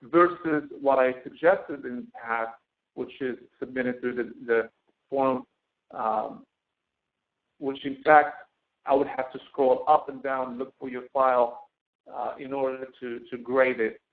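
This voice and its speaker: A man giving an informal screen-share tutorial as a course instructor, speaking haltingly and often repeating words. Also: medium pitch, steady tone. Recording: thin, phone-like narrowband audio.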